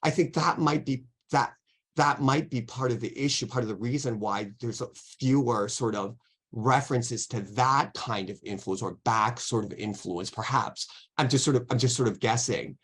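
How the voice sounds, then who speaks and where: Guy lecturer on a video call, mid pitch, careful and hedgy, lots of restarts. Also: moderate pace, fairly bright tone, husky. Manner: careful, hedging, many restarts. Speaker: guest lecturer. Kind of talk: online academic Q&A.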